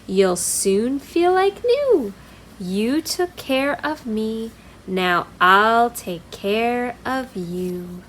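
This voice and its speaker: Woman reading as a slow, kind storybook bear. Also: on the high side, animated, slight background noise, poor audio. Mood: tender, reassuring.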